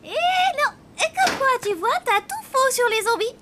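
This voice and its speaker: Cartoon zombie girl, bold, eager, very high voice, swooping intonation. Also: measured pace, slight background noise, exaggerated voice. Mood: enthusiastic. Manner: lively, insistent, enthusiastic. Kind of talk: French animated cartoon dubbing. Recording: loud music behind the voice.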